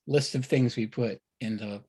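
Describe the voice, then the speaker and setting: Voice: medium pitch, moderate pace, warm tone, husky. A person talking casually and agreeably in a panel discussion, in an easygoing way.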